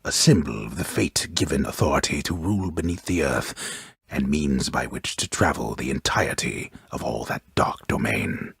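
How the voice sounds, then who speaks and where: An actor playing a grave, grandiose storyteller in a video game, narrating an underworld myth in a solemn, measured, drily wry way with a gravelly, husky voice. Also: male voice, extremely low pitch, a bit bright, no room sound, clean studio sound, full character voice.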